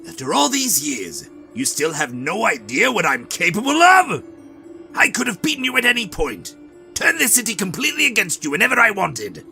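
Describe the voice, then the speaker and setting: Manic clown villain, wildly swooping, bright and sharp; comic-dub role, big theatrical delivery. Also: male voice, high-pitched, huge pitch swings, normal speed, faint music behind, exaggerated voice. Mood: taunting. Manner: theatrical, swings from shouting to hushed. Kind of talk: fan dub of a motion comic.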